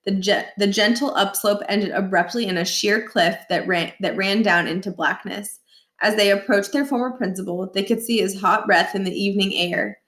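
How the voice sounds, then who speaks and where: A woman reading a children's novel aloud as expressive, scripted narration. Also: mid-range voice, moderate pace. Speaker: storybook reader. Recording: roomy echo.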